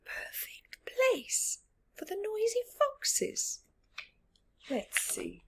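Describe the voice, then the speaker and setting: A woman reading a picture book aloud to young children, expressively, drawing out words and dropping into hushed tones. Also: very high-pitched, wildly swooping pitch, creaky. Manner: drawn-out words, drops into hushed whispers. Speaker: children's storyteller.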